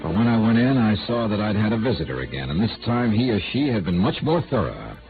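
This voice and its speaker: An actor playing a dry, weary, hard-boiled investigator in 1950s radio noir style, wry and terse. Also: male voice, lowish voice, very animated, fast talker. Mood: tense, weary narration. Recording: old narrow-band radio recording with music.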